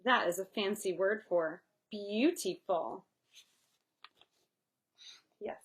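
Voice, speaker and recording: A woman reading a picture book aloud to young children in an expressive way, pausing to explain and chat. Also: medium pitch. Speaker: read-aloud storyteller.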